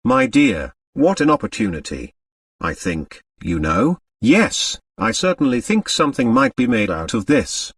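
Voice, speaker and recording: A man's voice: lowish voice, very animated, a little slow, fairly bright tone, husky, no room sound, studio quality, distant mic, exaggerated voice. A man voicing a gushing, scheming society lady in an audiobook, gossipy and enthusiastic.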